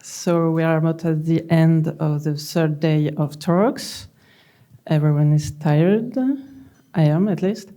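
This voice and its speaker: French-accented woman, middle-aged, conference speaker at a lectern; slow, relaxed delivery, dry humor. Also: deep voice. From France. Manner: slow, calm, gently humorous. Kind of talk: conference talk. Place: large conference hall, on stage at a lectern.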